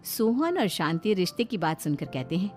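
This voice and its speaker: A woman's voice: on the low side, very animated, normal speed, over music. A woman narrating a scripted cartoon story as its storyteller.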